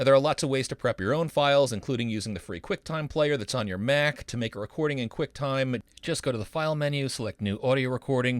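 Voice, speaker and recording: A man's voice: mid-range voice, no echo. A middle-aged man narrating a scripted programming tutorial as an instructor, with an upbeat, folksy manner and playful asides.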